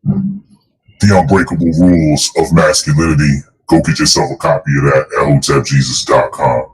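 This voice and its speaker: A man with a very deep voice, a podcast guest giving a booming promotional sign-on. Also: fairly even intonation, normal speed, breathy edge, low-quality recording. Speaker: guest author.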